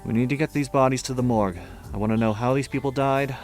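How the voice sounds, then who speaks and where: A man's voice: medium pitch, steady tone, fast talker, faint music behind, lightly acted. A man playing a terse, brooding police detective in a horror audio drama. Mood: urgent. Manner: terse, clipped, brooding sentences. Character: puzzled, brisk male police detective in charge.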